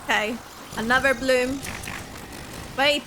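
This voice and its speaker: A woman's voice: high voice, steady tone, takes it slowly, noisy background. A woman streamer giving a casual, exclamatory reaction as she plays a video game, cheering herself on. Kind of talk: excited gameplay reaction, casual chat.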